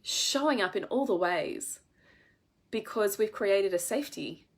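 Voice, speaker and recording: A woman's voice: mid-range voice, lively intonation, unhurried. A woman in her thirties with a congested head cold, speaking earnestly and emphatically to her viewers as a coach in a live stream, sometimes swearing for emphasis. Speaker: women's coach.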